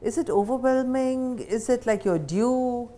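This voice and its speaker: A woman's voice: fairly high pitch, a little slow, mellow. A woman with an Indian accent hosting a conversational interview, putting questions to her guest. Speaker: interviewer, show host.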